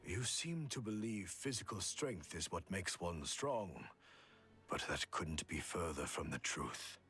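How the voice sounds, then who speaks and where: A deep-voiced, courteous old martial artist. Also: male voice, animated, a bit bright, soft background music. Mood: warm gratitude. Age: older. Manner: formal, measured, polite. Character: calm, courteous old martial artist who fights bare-handed. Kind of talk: scripted game voice acting.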